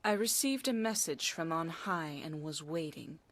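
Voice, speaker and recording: Solemn, portentous fortune teller. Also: female voice, sits low, animated, normal speed, a bit bright. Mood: grave, urgent. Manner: stately, portentous, formal. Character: solemn elven fortune teller, grave adult woman prophetess. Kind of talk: dramatic game cutscene acting.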